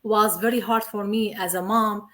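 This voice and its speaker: A woman's voice: mid-range voice, steady tone, moderate pace, fairly bright tone. A woman from Syria in her thirties, a guest in a casual interview, talking earnestly in simple, repetitive English. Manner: earnest, repeats herself, simple non-native English.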